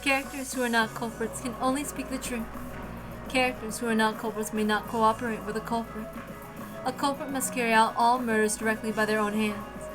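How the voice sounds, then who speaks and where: A woman's voice: a little high, steady tone, brisk, low-quality recording. A woman reading a visual novel aloud as dramatic scripted narration. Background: music.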